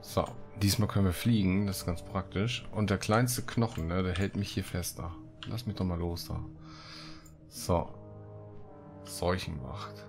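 A man's voice: deep voice, fairly even intonation, unhurried, gravelly, over music. A man giving casual let's play commentary and reading quest text aloud, with playful colloquial asides. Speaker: gaming video host.

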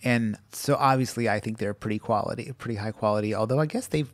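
A man's voice: lowish voice, fast, dry recording. A man co-hosting a podcast in casual chat, rambling with many "like"s and restarts. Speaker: podcast co-host.

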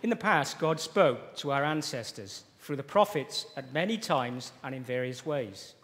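A man leading a church service, reading scripture aloud in an earnest, measured way and praying. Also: medium pitch, lively intonation, fairly bright tone. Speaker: church leader introducing the preacher. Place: church sanctuary with wooden panelling. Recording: long reverb of a church hall.

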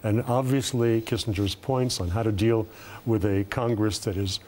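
A man in his seventies giving political commentary as a panel guest, deliberate and formal with hesitant pauses. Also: on the low side, steady tone, a little mellow, a little hiss or hum. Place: television studio round table.

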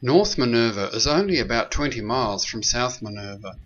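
A man narrating a sailing trip in a calm, measured, matter-of-fact voice-over. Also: mid-range voice, lo-fi sound. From New Zealand. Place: recorded separately from the pictured scenes. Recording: voice-over with loud background music.

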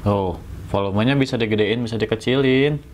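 Male vlogger, lowish voice, West Java Indonesian accent, informal jokey tutorial chat, noticeable room noise behind him. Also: a little fast. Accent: Sundanese-region Indonesian. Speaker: motovlogger. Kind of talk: casual hands-on tutorial chat. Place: indoors at home.

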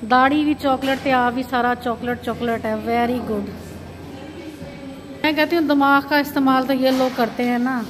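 A woman from Pakistan narrates a casual vlog tour into a handheld phone. Her voice sits high and stays fairly even in pitch, and she keeps drawing the viewer's attention to each thing she sees. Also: normal speed, music in the background, low-quality recording. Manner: pointing things out, repeating "look at this". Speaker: vlogger. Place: chocolate shop and busy shopping street.

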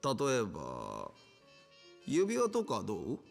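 Swooping, flirtatious bar customer, echoing. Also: male voice, fairly low pitch, wildly swooping pitch, slow, vocal fry, faint music behind, heavily acted. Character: smooth-talking, flirtatious male bar customer. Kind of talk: Japanese video game drama acting.

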